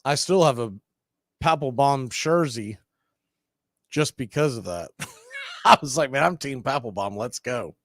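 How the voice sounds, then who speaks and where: A man calling a live baseball game on radio, chatting and telling side stories between pitches. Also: animated, a little slow, pauses often, no echo, studio quality. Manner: chatty, tells side stories and laughs at them. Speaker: radio play-by-play announcer. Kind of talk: live baseball play-by-play with casual chat.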